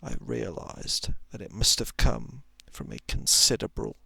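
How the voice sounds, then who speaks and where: A man reading a thriller short story as ASMR, in a soft, hushed, often whispered voice with dramatic, drawn-out phrasing. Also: on the low side, wildly swooping pitch, creaky, slightly rough, no echo. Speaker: narrator.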